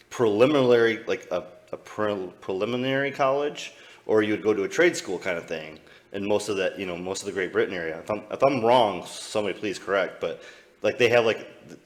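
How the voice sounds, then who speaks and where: A man's voice: lowish voice, fairly bright tone. A young man from the American Midwest with a Midwestern accent, rambling loosely and swearing as he comments on old clips during a live stream.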